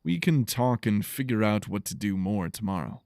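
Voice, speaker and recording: A man playing an earnest, gravelly explorer. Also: lowish voice, lively intonation, normal speed, a little mellow, dry recording, clean studio sound. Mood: reassuring. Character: earnest, gentle male explorer stranded on an alien world. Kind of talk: audio drama acting.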